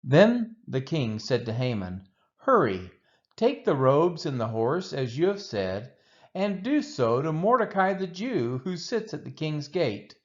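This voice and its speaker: A man gives an expressive reading of scripture in measured, deliberate phrases. His pace is slow and his intonation rises and falls widely. The recording sounds a little dull and lo-fi. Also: fairly high pitch. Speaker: scripture reader.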